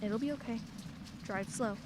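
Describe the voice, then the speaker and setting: An actress playing a middle-aged single mother, soft and soothing, with self-deprecating humor. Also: a little high, normal speed, noisy background, lightly acted. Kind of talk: radio play acting.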